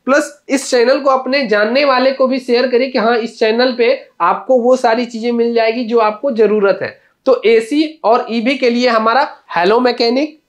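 A middle-aged man, a repair-course trainer, introduces his channel to camera in an earnest, explaining way with small restarts, standing indoors before a large screen. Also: very high voice.

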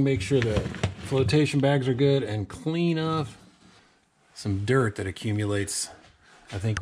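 A middle-aged man casually narrating boat maintenance in his garage and woodshop, relaxed and thinking aloud with a few pauses, picked up by a camera mic a little way off. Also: medium pitch, a little hiss or hum. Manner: relaxed, explains as he works, some hesitations. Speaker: boat builder and vlogger.

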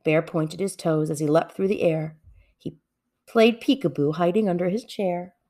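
A woman reading a children's story aloud with expressive narration. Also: lowish voice, moderate pace. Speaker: storybook reader.

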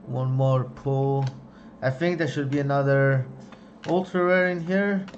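A young man giving casual running commentary as he opens trading card packs, chatty, excited on big pulls and low on misses, recorded at home on a desk mic. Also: measured pace, dull audio, slight background noise. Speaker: card-opening youtuber. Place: home room, indoors.

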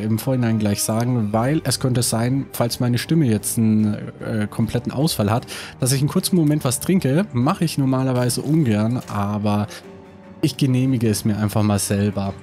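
A man's voice: medium pitch, normal speed, warm tone, faint music behind. Man with a cold, thinking aloud. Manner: thinking aloud, many hesitations. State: has a cold. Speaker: let's play host. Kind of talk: casual gameplay commentary.

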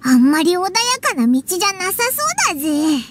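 A woman's voice: sits high, fairly quick, very noisy, natural voice. A woman voicing a small, cheeky fairy girl companion in an anime game, with blunt, brash phrasing. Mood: matter-of-fact. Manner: blunt, boyish tough phrasing.

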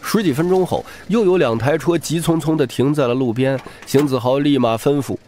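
A man's voice: medium pitch, brisk, dark tone, slight background noise. A man narrating a story as an audiobook reader in a dramatic scripted style.

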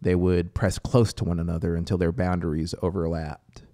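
Man, thirties, low-pitched, gravelly, dramatic deliberate story narration, close studio mic. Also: level tone, mellow, no echo. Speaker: audiobook narrator. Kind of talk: scripted story narration over soundscape. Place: small home music studio, indoors. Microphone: close studio microphone with headphones. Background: atmospheric synth music.

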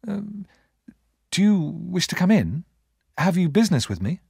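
A male reader acts a young storybook gentleman in his twenties, polite but uneasy. He speaks formally and emphatically, with a raised pitch that swings about, recorded dry. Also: sing-song, moderate pace, vocal fry, husky, no room sound, heavily acted. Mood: wary.

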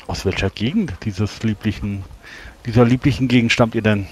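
A man playing a courteous, slightly naive gentleman adventurer with formal, flowery manners in a tabletop role-play. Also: fairly low pitch, moderate pace, slight background noise, close to an everyday voice. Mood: polite, inquiring.

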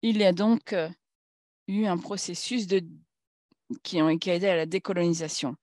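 Woman, lowish voice, conference speaker, halting delivery, dry close sound. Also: a little mellow, no room sound. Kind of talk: formal political talk.

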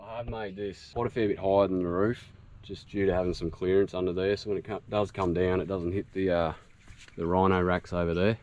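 A man in his thirties with an Australian accent, casually walking through a homemade build outdoors with relaxed, practical talk. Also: deep voice, brisk, muffled recording. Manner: relaxed, practical, with frequent fillers. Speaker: vlogger. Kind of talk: casual how-to walkthrough. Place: outdoors in a grassy bush camp. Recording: outdoor noise behind the voice.

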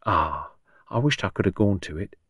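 A man's voice: low-pitched, animated, normal speed, a bit bright, husky, dry recording, clean studio sound, full character voice. A man voicing a shrewd, blunt old working-class woman patient in an audiobook, chatty and colloquial with folksy endearments. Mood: cheeky, resigned. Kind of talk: audiobook character dialogue.

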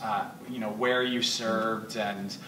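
A man's voice: lowish voice, fairly even intonation, slow, pushed and bright. A man in his thirties interviewing guests at church, friendly, laughing often and restarting his questions. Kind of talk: casual interview. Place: church lounge with sofas, indoors. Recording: roomy echo, distant mic, clear hiss.

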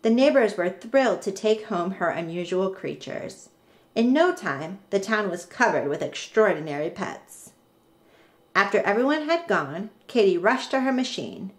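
A woman reading a children's picture book aloud in an expressive, deliberate way with dramatic pauses. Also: medium pitch. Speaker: storybook reader.

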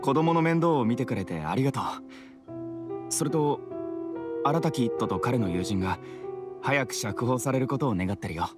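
A man acts a courteous, grateful father from a village in a video game. His voice sits at an ordinary male pitch, with clear music behind it. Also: animated, moderate pace, long pauses, lightly acted. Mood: grateful, polite. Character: polite, grateful adult village father in glasses. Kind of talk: video game character acting.

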